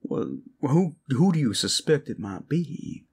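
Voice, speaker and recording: A man voicing a breezy, self-indulgent, cheeky monster in a fantasy audio roleplay, with a husky voice and a flippant, chatty manner. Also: medium pitch, lively intonation, unhurried, darkish tone, voice pushed up, exaggerated voice.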